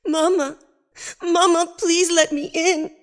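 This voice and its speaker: A woman voicing a sweet, kind young daughter who speaks gently and politely. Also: very high-pitched, steady tone, takes it slowly, pitched above the performer's own voice. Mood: pleading.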